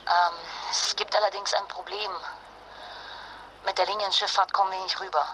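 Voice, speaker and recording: A woman's voice: medium pitch, level tone, moderate pace, noisy background, lightly acted. An actress playing a determined, insistent wife and mother in a naturalistic radio drama, blunt and urgent. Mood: matter-of-fact. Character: determined, jealous wife and mother fleeing nuclear fallout.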